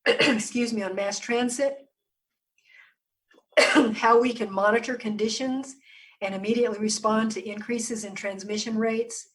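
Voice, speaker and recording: A woman public health official giving a measured briefing over a video call, hoarse and losing her voice, often stopping to clear her throat. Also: mid-range voice, far from the mic, low-quality recording. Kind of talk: briefing presentation on a virtual meeting.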